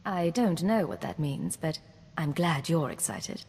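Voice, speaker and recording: An actress playing a poised, wry imperial secret agent in a video game, direct and teasing in manner. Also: female voice, low voice, lively intonation, a little slow, creaky, darkish tone, breathy edge, big-room sound, full character voice. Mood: wry, curious. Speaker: player character in a video game. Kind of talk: scripted game dialogue.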